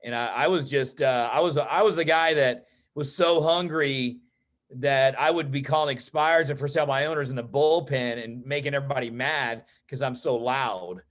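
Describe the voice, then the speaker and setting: An American man from Texas tells personal stories as a guest in an online meeting, in an informal, animated way that often acts out dialogue. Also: medium pitch, normal speed. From Texas, United States. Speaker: interview guest, real estate agent and coach.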